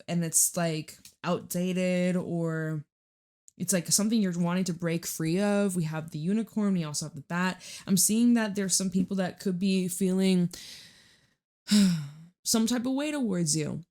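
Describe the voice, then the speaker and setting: A woman with a raspy, hoarse voice pitched a little low talks her viewers through a tarot spread. She is chatty and reassuring and swears in passing. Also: unhurried, warm tone. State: hoarse from screaming. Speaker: tarot reader. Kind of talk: casual tarot reading to viewers.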